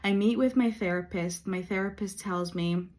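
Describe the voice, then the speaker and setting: Late 30s woman vlogging, earnest and thoughtful, lots of ums and pauses. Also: mid-range voice. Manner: earnest, reflective, soft-spoken with pauses and fillers. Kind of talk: personal faith vlog.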